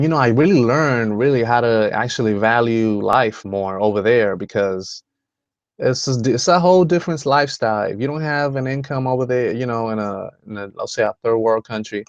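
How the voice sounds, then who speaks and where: A man's voice: medium pitch, no echo, muffled recording. A man from the Dominican Republic hosting a motivational video call, talking in a rambling way with many fillers and restarts. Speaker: host.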